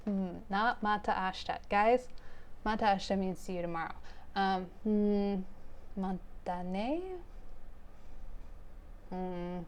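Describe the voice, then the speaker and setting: Woman vlogger, relaxed and rambling, talks straight to her viewers in an ordinary mid-range voice. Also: medium pitch, takes it slowly, long pauses, a little hiss or hum. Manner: rambling, chatty, frequent fillers and soft laughs; friendly direct address to viewers. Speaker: knitting vlogger, host. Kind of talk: casual chat to camera.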